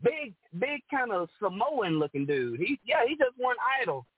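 Woman, deep alto, chatty, laughs often, phone-line sound, dry. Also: big pitch swings, normal speed, slightly rough, no room sound. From Rome, Georgia, USA. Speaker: guest singer. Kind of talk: casual interview chat.

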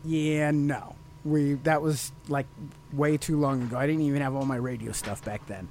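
A man's voice: on the high side, steady tone, moderate pace, darkish tone, slight background noise. An American man hosting a podcast, talking casually and sometimes reading aloud in an informal radio style. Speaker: podcast host.

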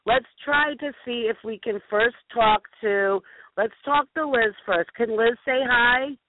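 A bubbly woman hosts a chatty call-in show with a deep, husky-toned voice that still sits fairly high for her. She gushes and rambles, full of "like" and restarts. The sound is thin and tinny, as if over a phone line. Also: a little slow, a little hiss or hum. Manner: bubbly, gushing, lots of "like". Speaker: host. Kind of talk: casual chat show banter.

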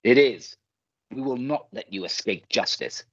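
A man playing an earnest, righteous, protective gentleman in a radio play, speaking formally and theatrically. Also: medium pitch, lively intonation, a little slow, slightly rough, dry recording, lo-fi sound, full character voice. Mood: indignant.

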